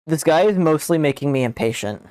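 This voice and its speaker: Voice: mid-range voice, expressive, fairly quick, darkish tone, dry recording, poor audio. A person playing through a game on stream, asking many questions and fretting about their own voice. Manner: self-conscious, asks lots of questions, swears. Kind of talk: casual gameplay chat.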